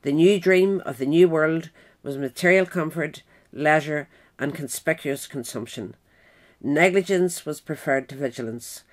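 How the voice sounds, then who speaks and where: A woman narrating a written biographical essay in a measured, formal way. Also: low voice. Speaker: narrator, reader.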